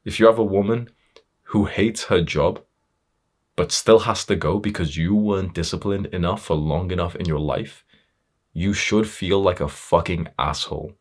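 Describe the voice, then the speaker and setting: Casting: young male self-improvement coach, very low voice, blunt tough-love monologue with casual swearing. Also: normal speed. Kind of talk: motivational monologue to viewers.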